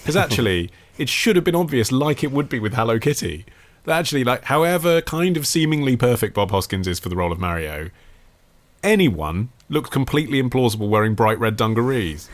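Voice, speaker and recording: A man's voice: medium pitch, very animated, a little fast. A man co-hosting a podcast with casual, conversational banter. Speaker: podcast co-host.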